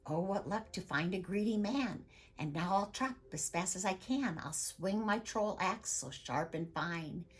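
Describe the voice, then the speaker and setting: A woman acts out a storybook troll maiden, scheming and sure of herself. The voice is slightly husky and leaps about in pitch, with a brash, sing-song selling manner. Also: lively intonation, measured pace. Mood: gleeful, sing-song. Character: clever, bold, scheming young female troll.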